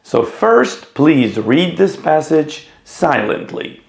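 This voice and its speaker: Slow, lively-voiced male teacher with gravelly edge. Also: echoey room. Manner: slow, deliberate explaining with lively pitch. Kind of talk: instructional video talk.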